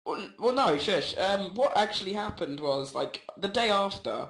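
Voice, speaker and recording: A man's voice: high-pitched, lively intonation, moderate pace, a bit bright. Giggly young man in an echoey room. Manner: rambling, giggly, filler-heavy. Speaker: storyteller. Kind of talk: absurd improvised comedy story.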